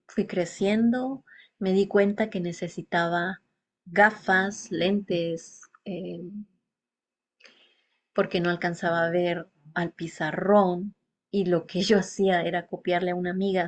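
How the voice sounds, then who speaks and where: Low-voiced woman in reflective confessional monologue. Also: a little mellow. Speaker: speaker telling a personal life story.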